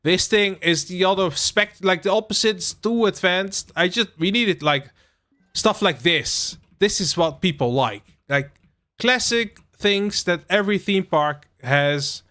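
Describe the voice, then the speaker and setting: A young man from the Netherlands hosting a relaxed game livestream, rambling with lots of fillers and asides to his chat. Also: sits high, unhurried. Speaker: livestream host. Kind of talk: casual live-stream chat while playing a game.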